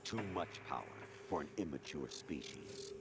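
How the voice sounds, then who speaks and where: An actor playing a cold, smug artificial intelligence that poses as a colonel, lecturing in a measured, condescending way in a video game. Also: male voice, a bass voice, lively intonation, a little hiss or hum. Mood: smug, menacing. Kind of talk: dramatic scripted game dialogue. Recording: loud music behind the voice.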